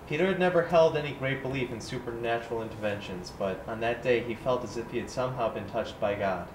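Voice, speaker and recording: A man's voice: medium pitch, fast, lightly acted. A man playing an earnest writer in a staged reading of a literary drama. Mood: solemn. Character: earnest male writer presenting his own story. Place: indoor room at a staged reading. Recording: roomy, noisy live recording.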